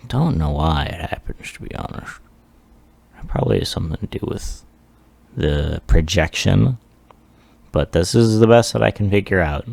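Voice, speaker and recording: Guy with gravelly voice slowly talking thru his 3d project, offhand, kinda self-deprecating. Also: deep voice, dry recording. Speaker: 3D artist presenting a project. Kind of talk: casual software demo walkthrough.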